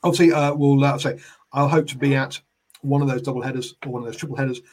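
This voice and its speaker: A New Zealand man with a head cold and a hoarse voice, hosting a rugby podcast in casual solo talk, rambling with many fillers and restarts. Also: medium pitch, fairly even intonation, fast talker, a little mellow.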